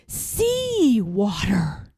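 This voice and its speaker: A woman's voice: medium pitch, wildly swooping pitch, extremely slow, gravelly, warm tone, breathy edge, no echo, full character voice. A woman voicing a brave young boy hero in a storybook, exclaiming urgently and defiantly.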